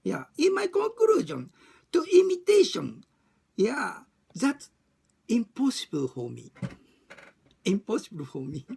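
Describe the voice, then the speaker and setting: A woman with a Japanese accent speaking non-native English in a halting, emphatic way, repeating phrases as in an informal personal talk. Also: a little high, huge pitch swings, creaky, warm tone, breathy edge. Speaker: discussion group chair and facilitator. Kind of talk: informal monologue about personal experience.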